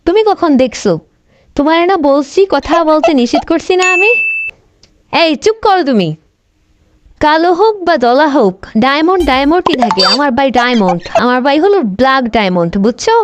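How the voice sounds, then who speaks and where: A sharp-tongued old wife in a comic cartoon, acted by a woman. Her voice is high, and she scolds, dismisses and orders people about. The recording is clearly noisy, with clicks. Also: big pitch swings, a little fast. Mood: defensive, scolding. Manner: nagging, dismissive, commanding.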